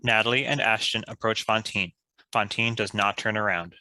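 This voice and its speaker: A male narrator reading out script action. His voice is fairly low and forceful in tone. It is recorded dry, with no room sound. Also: fairly even intonation, normal speed, dry recording, low-quality recording.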